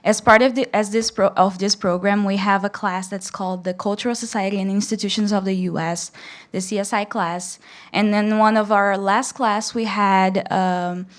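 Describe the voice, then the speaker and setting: A woman's voice: medium pitch, fairly even intonation, normal speed. A young woman from Brazil with a Brazilian accent, a native Portuguese speaker, speaking and reading aloud to an audience as a student. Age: young adult. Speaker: student reader at a public read-in.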